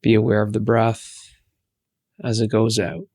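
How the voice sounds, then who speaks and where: A man leading a guided meditation in a soothing, hushed manner, giving gentle instructions with long pauses. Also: on the low side, monotone, no room sound, studio quality.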